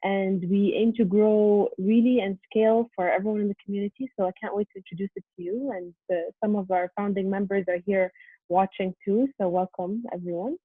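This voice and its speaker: A woman giving a prepared, earnest presentation over a video call, steady with frequent um fillers. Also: medium pitch, fairly quick, slightly rough, no room sound. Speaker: conference presenter. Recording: tinny phone-like line.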